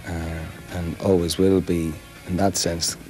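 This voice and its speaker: Deep, flat-voiced man musing. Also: slow, soft background music, rough recording. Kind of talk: documentary interview.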